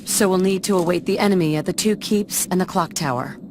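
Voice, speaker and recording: A woman's voice: lowish voice, brisk, close to an everyday voice. A woman acting a haunted, determined warrior in a video game, curt and intense with a defiant edge. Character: embittered, determined female warrior, haunted but resolute. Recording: clear background noise and ambience.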